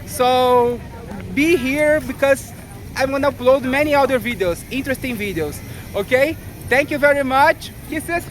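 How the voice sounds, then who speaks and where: A man's voice: extremely high pitch, normal speed, a little hiss or hum, low-quality recording. A Brazilian man with a Brazilian Portuguese accent, chatting casually to his viewers on a walking travel vlog. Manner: chatty, addresses viewers directly. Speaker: travel vlogger. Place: outdoors in a city street. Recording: outdoor vlog with music behind. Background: music.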